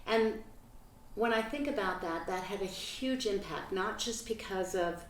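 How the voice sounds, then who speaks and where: An older woman from Belgium telling her life story as an interview guest at home, earnest and reflective, with pauses and fillers. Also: mid-range voice, a little hiss or hum. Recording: roomy sound from a distant mic.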